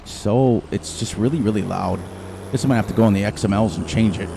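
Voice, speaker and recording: A middle-aged man with a loud voice, rambling and thinking aloud as he comments casually on his gameplay into a desk microphone. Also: fairly low pitch, fast talker, vocal fry. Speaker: gaming channel host. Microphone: desk microphone turned down low. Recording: game engine noise behind.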